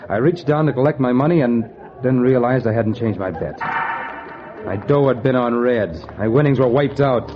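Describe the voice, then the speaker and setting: An actor playing a cocky, money-hungry gambler in a 1940s radio noir, with clipped, wry, slangy delivery. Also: male voice, medium pitch, normal speed, lightly acted. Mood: wry, tense. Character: hard-boiled, cocky male gambler, broke and money-hungry. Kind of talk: 1940s radio noir acting, first-person narration. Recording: dull vintage radio recording with music. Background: music.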